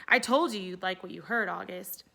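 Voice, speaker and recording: A woman's voice: medium pitch, fairly quick, creaky, sharp tone. A woman voicing a friendly, reassuring male ear doctor in a storybook, upbeat and chummy.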